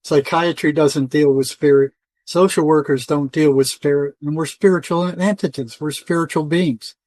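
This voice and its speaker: An older man answering as an interview guest in a conversational, halting way, often repeating words and restarting. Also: a little high, steady tone, darkish tone, dry recording. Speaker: interview guest, retired psychotherapist.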